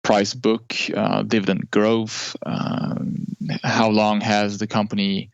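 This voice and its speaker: A man's voice: fairly low pitch, level tone, slow pace, creaky, no echo, lo-fi sound. A man from Norway, a guest in an interview, talking casually about his money habits with many fillers and restarts.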